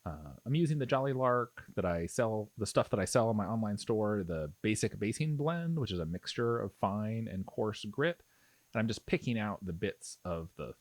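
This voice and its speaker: A man's voice: lowish voice, no echo. A man giving a casual, step-by-step instructional voice-over in an informal, explanatory way. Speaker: miniature painting tutorial host.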